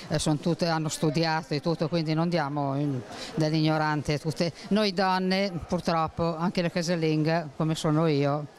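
A woman's voice: deep voice, steady tone, measured pace. An older woman, a homemaker, answering questions in a street interview outdoors under a city arcade, speaking in short, hesitant, broken phrases into a handheld mic. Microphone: handheld mic held toward her.

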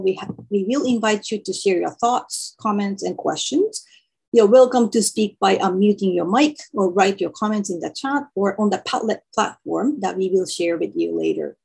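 A woman's voice: medium pitch, creaky, darkish tone, breathy edge, poor audio. A Japanese woman who speaks English as a second language, carefully explaining the setup of an online workshop she facilitates over a video call.

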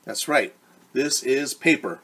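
A man's voice: medium pitch, expressive, slow, gravelly, pushed and bright, husky. A man in his forties reading a storybook to young children as their teacher, talking directly to them and repeating key words. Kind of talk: story time read-aloud for young children. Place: living room at home, indoors.

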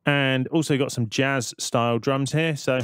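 A man giving a casual, conversational software tutorial voice-over, explaining things step by step. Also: medium pitch, fairly even intonation, a little slow, faint music behind. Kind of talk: casual screen-recorded tutorial narration.

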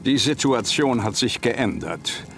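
A man's voice: medium pitch, lively intonation, a little slow, fairly bright tone. A man voicing a cold, commanding emperor in a video game cutscene, imperious and insistent.

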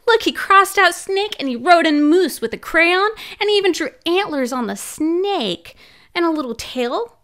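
A young woman librarian reading a picture book aloud to children, sounding out letters playfully in a slow, animated storytime style. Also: very high-pitched. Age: young adult. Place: small home room, indoors. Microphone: large studio mic on arm in front of her.

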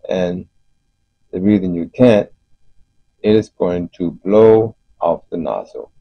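A man giving an unscripted explanation as host of a DIY video, speaking haltingly with frequent pauses. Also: low voice, slightly rough. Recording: muffled, roomy voice-over recording.

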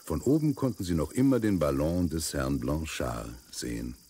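A man narrating a children's audio drama as an amused storyteller, with a gravelly, husky voice. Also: extremely low pitch, sing-song, moderate pace, warm tone, faint music behind, full character voice. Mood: amused storytelling. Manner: storyteller addressing young listeners.

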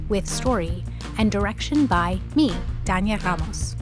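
A woman's voice: mid-range voice, animated, measured pace. A woman, the show's director, reading scripted closing credits. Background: music.

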